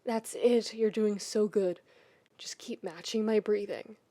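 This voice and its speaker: A woman acts out a calm, tender man in an audio story. He speaks slowly, hushed and breathy, gently coaxing a friend to settle. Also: medium pitch, dry recording. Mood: soothing.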